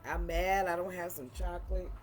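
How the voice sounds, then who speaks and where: A woman with a deep voice chatting casually and playfully in a wine tasting vlog, repeating phrases for emphasis. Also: flat delivery, normal speed, slight background noise. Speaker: vlogger reviewing wine.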